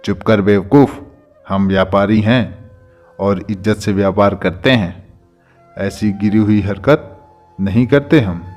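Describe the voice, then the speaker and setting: A man voicing a stern, businesslike bird moneylender in a children's cartoon, firm and measured. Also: fairly low pitch, a little mellow, soft background music, natural voice. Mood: commanding. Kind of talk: Hindi children's cartoon dubbing.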